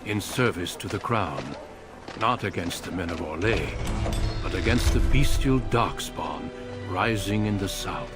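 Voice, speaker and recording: An actor playing a solemn, courteous warrior in a fantasy game, with a grave, measured and formal delivery. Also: male voice, low-pitched, animated, loud music behind the voice. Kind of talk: scripted fantasy game narration and dialogue.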